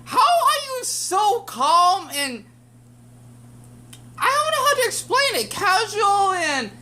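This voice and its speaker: Bright-voiced rambling American woman. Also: extremely high pitch, sing-song, slow. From United States. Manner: rambling, with many fillers and restarts. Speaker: YouTube commentator. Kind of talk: casual reaction commentary.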